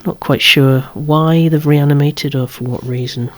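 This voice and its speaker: ASMR collector-presenter, androgynous voice. Whispered, hushed delivery at medium pitch, with gently wry asides. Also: fairly even intonation, measured pace. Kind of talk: whispered ASMR show-and-tell of collectible toys.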